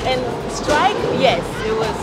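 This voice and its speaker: High-voiced woman, crowded noisy venue. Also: big pitch swings, slow. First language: Portuguese. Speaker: vlogger. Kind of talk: casual chat. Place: large busy indoor bowling alley. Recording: loud music and crowd in bowling alley.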